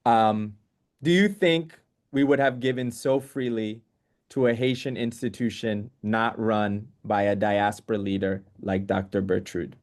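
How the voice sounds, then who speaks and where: A man's voice: lowish voice, fairly even intonation, unhurried, darkish tone. Man moderating a video-call Q&A.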